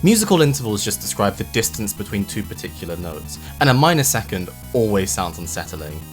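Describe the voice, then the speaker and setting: Male video essay host, low register, very animated pitch movement, explanatory and emphatic, music bed underneath. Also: fairly quick. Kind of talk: scripted narration with casual asides. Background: background music.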